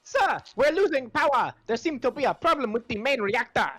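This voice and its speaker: A man's voice: very high voice, expressive, brisk, sharp tone, exaggerated voice. A man playing dramatic space-saga characters in an amateur comedic script reading, theatrical and overplayed, close up with music underneath. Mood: alarmed. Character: dramatic film characters, from anxious officer to solemn master.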